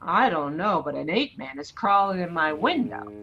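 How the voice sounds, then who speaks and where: A woman's voice: on the low side, moderate pace, highs cut off. A woman playing a feisty, flustered, slangy cab driver in a 1940s radio drama. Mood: indignant, recounting. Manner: slangy, excitable storytelling.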